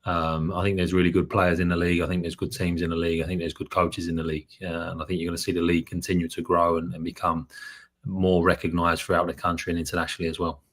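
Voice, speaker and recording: A man, a head coach, answering interview questions in a measured, steady way. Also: sits low. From from abroad, later lived in New York. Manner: measured, steady, with frequent "um" and "I think".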